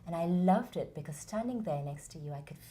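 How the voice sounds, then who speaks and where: A woman from India, giving earnest, measured and passionate answers in an interview. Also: on the low side, animated, dark tone. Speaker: interview guest, founder of a theatre academy.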